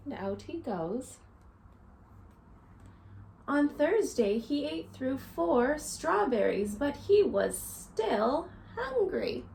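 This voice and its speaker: A woman in her thirties speaking as a teacher to young children, reading a picture book and explaining it simply in a deliberate, instructive way. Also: high-pitched, very animated, creaky, darkish tone, a little hiss or hum, poor audio. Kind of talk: expressive picture-book read-aloud and simple lesson for children. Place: small living room, indoors.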